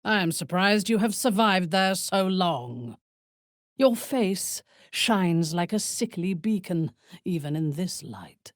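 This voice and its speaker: A ruthless dark elf noblewoman from a fantasy video game. Her low voice moves slowly and deliberately, swinging widely in pitch. She is imperious and sardonic, with formal diction and cool menace. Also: expressive, no room sound, studio quality. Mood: dry, haughty. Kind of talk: video game character voice acting.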